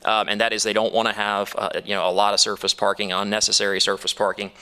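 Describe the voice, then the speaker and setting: A man's voice: on the low side, little pitch movement, fast, pushed and bright, clear, resonant tone. A man speaking as an attorney for a developer at a town council hearing, upbeat and persuasive, with some fillers and restarts. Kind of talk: formal presentation.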